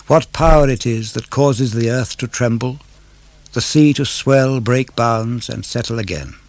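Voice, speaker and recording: A man reads classical poetry aloud for radio. His phrasing is measured and declamatory, with a gravelly edge to the voice. The sound is dry and intimate. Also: mid-range voice, a little mellow, dry recording. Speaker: poetry reader. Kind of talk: dramatic verse reading of classical poetry for radio.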